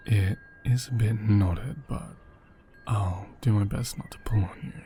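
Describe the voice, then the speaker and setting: A man acting as a tender, curious doll repairer in an ASMR audio drama. His low voice is soft and mellow, often slipping into a whisper. He murmurs very slowly and soothingly, with thoughtful hums. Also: a little hiss or hum, natural voice. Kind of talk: ASMR roleplay, soft-spoken and half-whispered.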